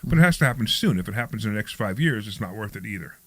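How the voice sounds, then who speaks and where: A man's voice: fairly low pitch, expressive, rapid-fire, slightly rough, dry recording. A man in his sixties hosting a talk radio show, rambling and repeating himself in casual chat. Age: 60s.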